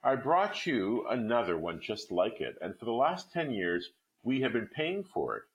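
A man's voice: medium pitch, big pitch swings, normal speed, pitched above the performer's own voice. A man voicing a hard-worn poor woman who earnestly confesses her long years of debt, in a read-aloud story.

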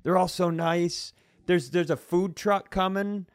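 A man's voice: high-pitched, steady tone, a little slow, clear, resonant tone, dry recording, studio quality. A man co-hosting a podcast, telling casual comic stories in a wry, rambling way. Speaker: podcast co-host. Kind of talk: casual comedic storytelling.

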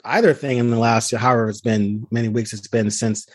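A man's voice: medium pitch, fairly even intonation, brisk, dry recording. Emphatic man talking sports, dry close sound. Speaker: podcast host and sports reporter. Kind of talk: casual sports podcast talk.

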